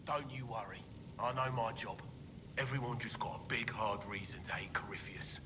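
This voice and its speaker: Guy acting a tired no-nonsense craftsman, game dialogue, kinda far from mic, rumbly background. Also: normal speed, noisy background, lightly acted. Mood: weary, resolute. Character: weary, dependable male quartermaster or craftsman. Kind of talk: video game character dialogue. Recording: distant voice over rumbling ambience.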